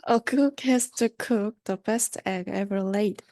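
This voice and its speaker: Young woman reading a rhyming kids book, slow pace, warm mellow tone. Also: mid-range voice, no room sound, clean studio sound. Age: young adult. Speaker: university student reader. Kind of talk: reading a children's rhyming story aloud.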